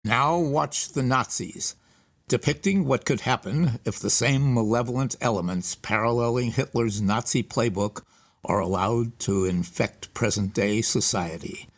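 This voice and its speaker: A man reading an earnest, steady scripted voice-over that introduces a documentary. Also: medium pitch, measured pace. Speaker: presenter introducing the film.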